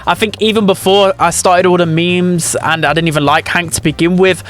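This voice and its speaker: A man's voice: high-pitched, steady tone, fairly quick, fairly bright tone. A man giving casual, opinionated ranking commentary as host of a gaming video. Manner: chatty, opinionated.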